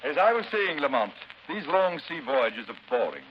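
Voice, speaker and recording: An actor playing a pompous, blustering English gentleman with a British accent in 1930s radio style. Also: fairly high pitch, sing-song, moderate pace. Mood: bored, dismissive. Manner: pompous, sputtering. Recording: dull, hissy 1930s radio recording.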